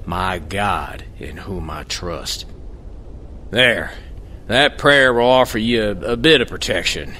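An actor playing a gruff, wary, pious old rustic coachman with a folksy drawl, in a horror audio drama. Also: on the low side, fairly bright tone, a little hiss or hum.

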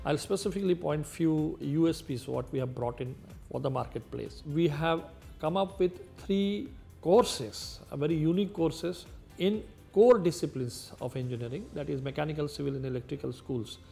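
A man's voice: fairly high pitch, normal speed, gravelly, dark tone, soft background music. A middle-aged man with an Indian accent, a university official explaining in an interview.

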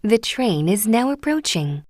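A woman's voice: medium pitch, very animated, very slow, dry recording, studio quality. A woman reading short scripted train station announcements, formal and carefully enunciated. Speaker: English-language station announcer. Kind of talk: scripted public transit announcement.

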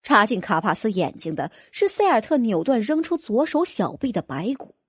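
Expressive woman narrator with a husky edge. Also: on the high side, normal speed, no echo. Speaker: audiobook narrator. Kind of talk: dramatic scripted fantasy novel narration.

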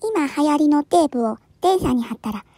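Playful, high-pitched woman storyteller. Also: lively intonation, fairly quick, mellow, no room sound. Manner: cutesy, theatrical, baby-talk endings. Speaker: cat video narrator speaking for her pet cats. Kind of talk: playful storybook narration in cutesy cat-speak.

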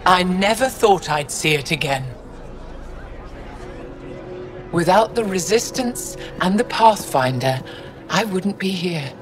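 A woman's voice: lowish voice, animated. Solemn older alien female scholar. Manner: measured, solemn, formal. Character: grave, dignified older female alien scholar and spiritual leader. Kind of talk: dramatic game voice acting. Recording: music under the voice.

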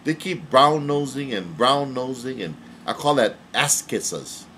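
A man's voice: medium pitch, animated, a little slow, bright, forceful tone, slight background noise. A man preaching a confrontational sermon, blunt and mocking, firing repeated questions at the listener. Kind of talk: confrontational street-style sermon.

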